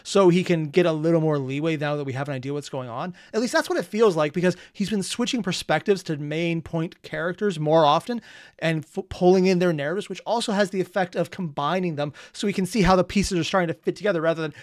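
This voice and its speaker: A reaction video host talking casually, animated and exasperated, with profane asides. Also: medium pitch, quick pace, dry recording, studio quality.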